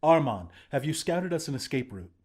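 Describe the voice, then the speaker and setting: A man playing a higher-voiced, earnest young-rebellion commander. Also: very animated, moderate pace, a little mellow, breathy edge. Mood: businesslike. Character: battle-hardened resistance commander, protective, blunt but encouraging. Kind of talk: video game character voice lines.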